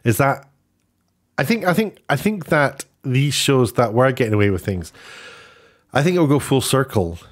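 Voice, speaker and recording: A man's voice: medium pitch, lively intonation, normal speed, long pauses. A man presenting an online video, giving off-the-cuff, opinionated news commentary in a rambling way, often rephrasing himself.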